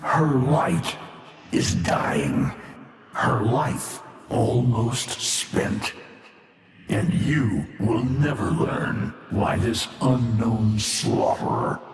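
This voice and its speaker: A man's voice: mid-range voice, very animated, drawn-out words, full character voice. A male actor playing an ancient, brooding alien war-being in a video game, grave and scornful, with solemn, portentous delivery drenched in reverb. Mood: accusing, scornful. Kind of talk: theatrical scripted video game voice acting. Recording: cavernous long reverb, faint background noise.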